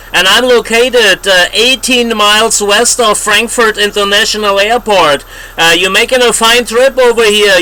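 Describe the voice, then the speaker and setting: Very high-pitched man on ham radio. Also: pushed and bright. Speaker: amateur radio operator. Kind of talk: ham radio contact, repeated call signs and reports. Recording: noisy shortwave radio contact, static.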